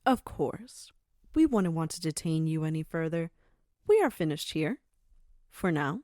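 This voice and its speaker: A woman playing a commanding mafia madam in an audio drama, measured and mock-sweet with authority underneath. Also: low-pitched, sing-song, mellow, no echo, clean studio sound. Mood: smug. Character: commanding mafia madam, silkily polite yet menacing. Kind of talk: audio roleplay acting.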